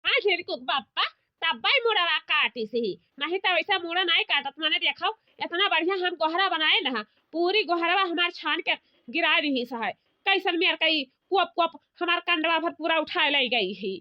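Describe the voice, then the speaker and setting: A woman's voice: very high voice, animated, moderate pace, highs cut off. A woman voicing a bossy, aggrieved village matriarch who scolds at length in an Awadhi accent, in a comedy cartoon. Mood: stern. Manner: indignant, long-winded scolding.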